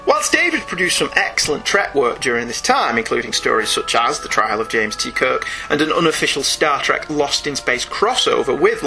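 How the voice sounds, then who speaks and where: A man's voice: mid-range voice, bright, forceful tone, low-quality recording. A British man hosting a comics podcast, moving between scripted review and casual, wry, articulate banter.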